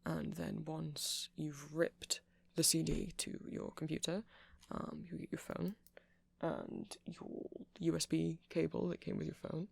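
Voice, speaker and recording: A man playing a nerdy vampire in an ASMR roleplay, rambling through explanations in a hesitant, meandering way with a creaky voice. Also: on the high side, expressive, a little slow, dry recording, exaggerated voice. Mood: earnest explaining. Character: nerdy male vampire, rambling tech enthusiast, gentle boyfriend. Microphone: close mic, dry.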